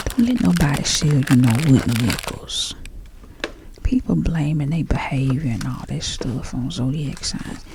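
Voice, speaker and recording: A woman with a Southern US accent doing chatty ASMR, speaking softly and half-whispering like a folksy auntie giving advice. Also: low-pitched, gravelly. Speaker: ASMR creator packing orders.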